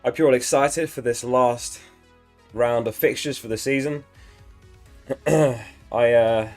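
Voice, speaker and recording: A man hosting a casual live stream, a little hoarse from losing his voice, chatting and reading out viewer messages. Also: mid-range voice, takes it slowly, soft background music. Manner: reads out chat messages, chatty and upbeat. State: slightly hoarse, lost voice. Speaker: livestream host.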